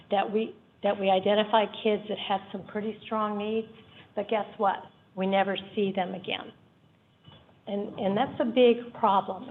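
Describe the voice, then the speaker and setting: Soft-voiced woman on a tinny line. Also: mid-range voice, slight background noise. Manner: earnest, explains with examples. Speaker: community member giving public comment. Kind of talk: public comment at a board meeting. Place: meeting room. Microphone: room microphone. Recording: tinny phone-like meeting audio.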